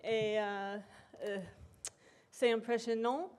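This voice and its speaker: Hesitant woman, slow talk. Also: fairly high pitch, steady tone, darkish tone, clear, resonant tone. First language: English. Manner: hesitant, many pauses and fillers. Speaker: invited speaker. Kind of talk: academic presentation. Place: lecture hall.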